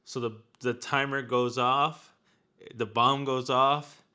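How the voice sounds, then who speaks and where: A man's voice: medium pitch, little pitch movement, takes it slowly, pushed and bright, clean tone. A man chatting casually as he hosts an unboxing and product review.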